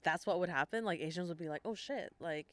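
A young woman in casual podcast chat, chatty and animated, laughing often. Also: fairly low pitch, fast, no echo. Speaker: podcast co-host.

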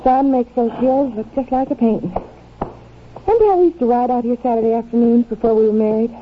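A gentle young wife played by an actress in an old radio drama, high in pitch and informal in her phrasing. The recording is thin and tinny, with loud noise. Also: quick pace, lightly acted. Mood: teasing, content. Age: young adult. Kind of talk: 1950s radio drama acting.

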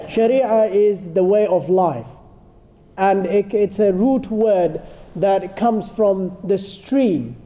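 A man giving an earnest religious lecture to a congregation, explaining points step by step and dropping in Arabic phrases. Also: sits high. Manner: calls himself soft-spoken but firm today. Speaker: Islamic teacher.

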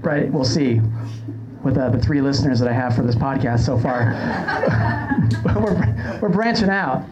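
A woman's voice: a bass voice, normal speed, over loud music. A woman hosting a casual comedy podcast, telling anecdotes in a wry, sarcastic way. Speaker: podcast host. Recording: clearly noisy background.

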